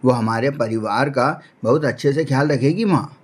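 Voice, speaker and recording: A man's voice: mid-range voice, moderate pace, slightly rough, natural voice. A man voicing a caring, earnest young crow husband in a cartoon story, with a reassuring manner. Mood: earnest, pleading. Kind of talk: Hindi cartoon story acting.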